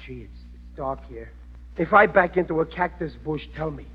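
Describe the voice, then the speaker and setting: A man's voice: fairly high pitch, moderate pace, natural voice. An actor playing a bumbling, grumbling working-class father in a vintage radio comedy, with folksy, ungrammatical speech. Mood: wary, joking. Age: middle-aged. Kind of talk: 1940s-50s radio comedy acting. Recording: muffled, noisy old radio recording.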